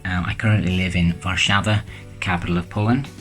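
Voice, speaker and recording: A man in his thirties from Northern Ireland, with a Northern Irish accent, talking quickly to a webcam with plenty of uhs and ums. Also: low-pitched, steady tone, fairly bright tone, soft background music. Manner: quick, many uh and um fillers. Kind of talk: casual self-introduction to camera. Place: bright room with plain wall.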